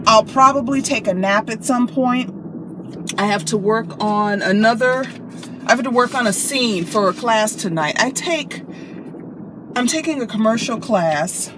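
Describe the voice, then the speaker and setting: An American woman chatting casually to camera in an animated, playful, expressive way, like telling stories to friends. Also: a little high, measured pace. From Chicago, United States. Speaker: vlogger and actress. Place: inside a car. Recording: clear car and traffic noise.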